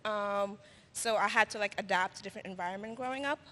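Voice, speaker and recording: A woman's voice: mid-range voice, quick pace, bright, forceful tone, slight background noise. A woman whose first language is French, raised in Paris, speaking hesitantly with many fillers about her finance work on stage. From grew up in Paris, France. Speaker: finance professional, conference attendee. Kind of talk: on-stage conversation. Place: conference stage with audience. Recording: long hall reverb.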